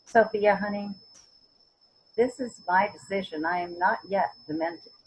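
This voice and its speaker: A woman's voice: medium pitch, unhurried, slight background noise, natural voice, rough recording. A woman acting a stubborn, principled, wry elderly mother in a readers theater drama, often searching for words and then stressing them. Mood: resolute. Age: older. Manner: word-searching, emphatic, wry.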